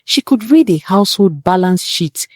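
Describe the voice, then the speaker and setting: A woman narrating a scripted dramatic family tale as a storyteller, in deliberate, measured phrases with pauses for weight. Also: mid-range voice, expressive, no room sound, studio quality.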